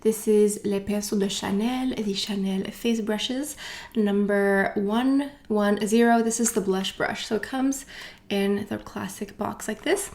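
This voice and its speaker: A woman's voice: medium pitch, unhurried, a little mellow. A woman chatting casually as she unboxes and reviews beauty products, rambling with asides and fillers. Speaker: beauty vlogger.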